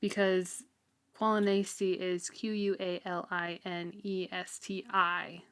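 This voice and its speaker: A woman's voice: fairly low pitch, level tone, slow pace, a bit bright, clean tone. Casual, giggly woman co-hosting a podcast. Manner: giggly, casual, informal phrasing. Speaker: podcast co-host. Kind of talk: casual book discussion.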